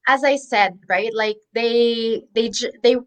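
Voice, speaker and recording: A woman in her thirties speaks as an interview guest, her voice sitting somewhat high. She talks in a chatty, easygoing way, often restarting mid-sentence. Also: slow pace. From Philippines. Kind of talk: casual interview.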